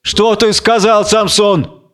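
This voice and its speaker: A man's voice: sits high, a little slow, a bit bright, pitched above the performer's own voice. A man voicing a distraught, fearful blind woman in a declamatory, imploring reading of a biblical tragedy. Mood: urgent pleading.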